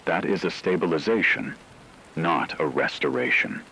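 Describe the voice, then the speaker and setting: Low, grave male radio analyst acted. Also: sharp tone, slight background noise, exaggerated voice, poor audio. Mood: sober, precise. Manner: measured, precise, sober. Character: grave, blunt male expert briefing radio listeners on disaster. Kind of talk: scripted dramatic radio briefing.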